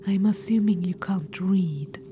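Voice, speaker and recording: A woman voicing an ancient undead witch-queen in an ASMR roleplay. She whispers softly, coaxing and a little condescending, and calls the listener darling. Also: medium pitch, measured pace, noisy background, close to an everyday voice. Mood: sly.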